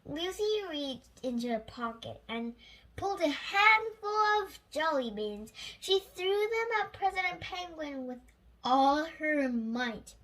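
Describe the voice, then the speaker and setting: Slow, high-voiced child reading aloud. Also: lively intonation, fairly bright tone. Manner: halting, self-correcting reading.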